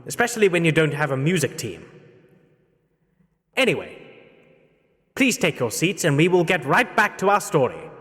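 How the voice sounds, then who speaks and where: A man's voice: a little high, a bit bright, voice pushed up. A man playing a wacky, genial old storyteller hosting a show, chatty and theatrical. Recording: echoey hall, crowd and noise.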